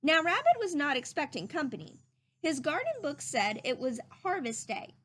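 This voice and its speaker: A woman reading a children's story aloud in an animated, emphatic, teacherly way. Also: high voice, moderate pace. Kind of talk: expressive read-aloud narration with teacherly questions to listeners.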